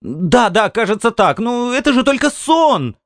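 A man's voice: very high voice, big pitch swings, measured pace, no room sound, clean studio sound, voice pushed up, exaggerated voice. A man voicing a timid, evasive wife, hesitant and placating, in a dramatised audiobook. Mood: nervous, pleading.

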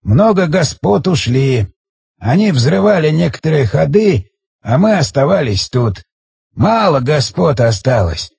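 Man voicing a frightened ancient elder, husky and halting. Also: fairly high pitch, no room sound, full character voice. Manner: hushed, halting, slow speech in short simple phrases. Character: ancient, frightened, secretive male elder of an underground tribe.

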